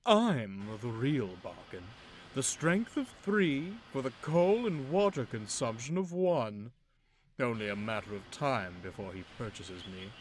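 A man voicing a pompous, boastful male tank engine who talks down to everyone, loud and grandiose. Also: medium pitch, lively intonation, unhurried, a little hiss or hum, lightly acted.

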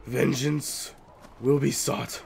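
A young man casually commentating a video game as he plays, chatty and joking, with sudden loud outbursts. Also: medium pitch, steady tone, slow pace, vocal fry, slight background noise. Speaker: gaming YouTuber. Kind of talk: casual let's-play commentary.

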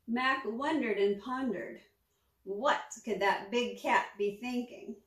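A woman reads a picture book to young children in a high voice. She takes her time and tells it expressively. The sound is roomy, picked up at some distance from the microphone. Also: takes it slowly, a bit bright. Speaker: storytime reader, former teacher. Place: small furnished room at home, indoors. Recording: echoey room, distant microphone.

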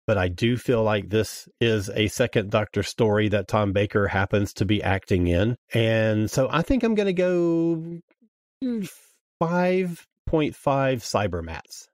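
A man's voice: on the low side, measured pace, no echo. A man speaking casually as a guest in a podcast review discussion.

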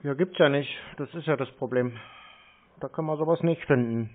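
A man's voice: medium pitch, normal speed. A blunt, mocking man in a confrontational phone call. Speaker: scam-baiting prank caller. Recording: tinny narrowband phone line.

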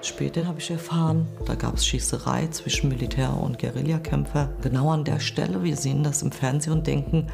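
Woman, forties, frequent vocal fry, hesitant interview recollection, music behind. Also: low-pitched, fairly even intonation, brisk, few pauses. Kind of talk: reflective interview account. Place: quiet indoor room.

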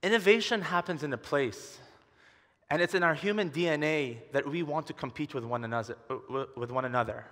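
A man's voice: a little high, brisk, a bit bright. A man in his twenties from Dubai giving a prepared talk on stage as a conference speaker, wearing a headset mic. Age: 20s. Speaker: conference speaker, entrepreneur and urban designer. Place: large conference hall stage, indoors. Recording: large hall reverb from the stage.

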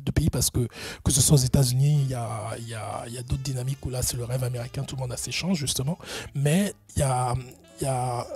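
A man's voice: medium pitch, steady tone, fast, mellow, slightly rough, no room sound, soft background music. A middle-aged man answering interview questions at length, hesitant, with many fillers and restarts. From Benin, raised in Gabon. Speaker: guest, entrepreneurship consultant.

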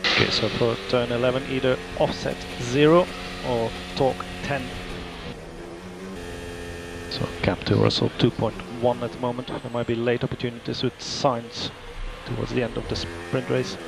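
A man's voice: mid-range voice, steady tone, normal speed, gravelly. A male race engineer giving clipped, matter-of-fact instructions to a driver over team radio, repeating key phrases. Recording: noisy team radio with car sounds.

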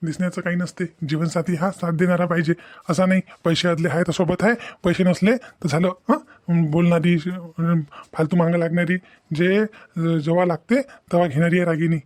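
A man's voice: high voice, fairly even intonation, normal speed, a little mellow, no room sound, natural voice. A man voicing a devoted, earnest young husband in a cartoon family drama, with a Varhadi Marathi accent. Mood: admiring, musing. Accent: Maharashtrian, Varhadi Marathi. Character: devoted, considerate young husband musing to himself.

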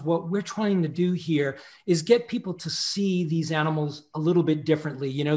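Earnest middle-aged man, higher voice. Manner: earnest, speaks with conviction, gestures with his hands. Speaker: panel guest, photographer. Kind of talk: video call panel discussion. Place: home office with framed photos. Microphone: video call.

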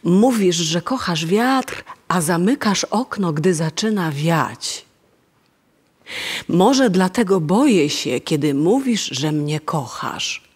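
A woman's voice: fairly low pitch, animated, slow, slightly rough, heavily acted. A woman playing a wounded grown daughter reproaching her mother in a staged radio drama. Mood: hurt, reproachful.

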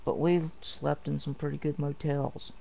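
A man's voice: fairly high pitch, flat delivery, unhurried, gravelly, warm tone, a little hiss or hum. A man ranting indignantly and at length in a home video, repeating himself as he rambles. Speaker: vlogger. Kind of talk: angry home video rant. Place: home apartment.

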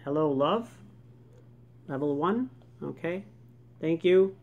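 A man casually introducing book readings as the host of a children's channel, with a creaky voice. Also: a little high, expressive, takes it slowly, narrow, tinny audio.

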